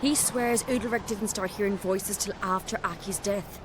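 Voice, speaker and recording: A woman's voice: medium pitch, moderate pace, background noise, natural voice. An actress playing an earnest, headstrong young island noblewoman in a fantasy video game, speaking frankly like a storyteller. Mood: earnest, convinced. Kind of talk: video game cutscene acting. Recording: music behind the voice.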